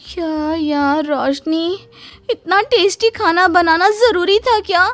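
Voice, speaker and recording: Give a very high, girlish voice to a selfish young bride played by a woman, dramatic and quick to complain, as in a cartoon family drama. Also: a bit bright, faint music behind, heavily acted. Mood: whiny, frustrated. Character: selfish, whiny young newlywed daughter-in-law. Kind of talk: Hindi cartoon story dialogue.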